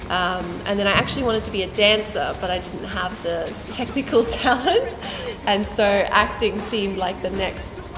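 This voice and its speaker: A young woman, raised first in South Africa, talks about her acting work in a relaxed and friendly way. Her mid-pitched voice carries a long room reverb. Music sits behind her. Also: moderate pace. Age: young adult. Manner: friendly, laughs often. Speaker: actress, interview guest. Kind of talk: casual interview answers.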